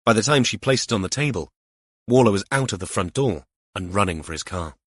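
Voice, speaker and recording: A man's voice: on the low side, lively intonation, brisk, a bit bright, husky, dry recording, clean studio sound. A man reading a mystery novel aloud as scripted audiobook narration.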